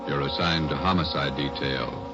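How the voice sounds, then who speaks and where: Very deep, solemn American radio announcer. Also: male voice, fairly even intonation. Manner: grave, deliberate. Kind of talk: solemn 1940s radio scripted narration. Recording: muffled old radio, loud brass music.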